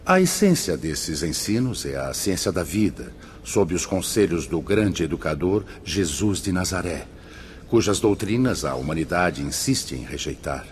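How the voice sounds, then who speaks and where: An actor playing a solemn, benevolent male spirit mentor in a religious radio drama, speaking gravely and with measured emphasis. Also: low voice, sing-song, slight background noise. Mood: solemn, instructive.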